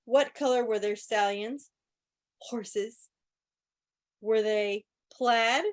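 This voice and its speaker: A woman's voice: fairly high pitch, extremely slow. A woman hosting a quiz in a casual, chatty style, with wry joking asides, speaking on an online video meeting. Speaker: quiz host. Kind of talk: casual live trivia commentary.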